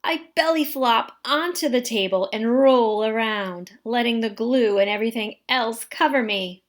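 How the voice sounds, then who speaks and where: A woman reading a children's picture book aloud in an animated, dramatic way, drawing out words for effect. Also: fairly high pitch. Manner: expressive, dramatic delivery with drawn-out words. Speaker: story reader.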